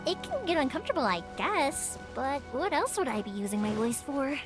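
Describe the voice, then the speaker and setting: A woman's voice: high voice, big pitch swings, fairly quick. A woman voicing a cheerful, talkative young fireworks maker in a game dub, eager to help everyone. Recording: game audio with music behind.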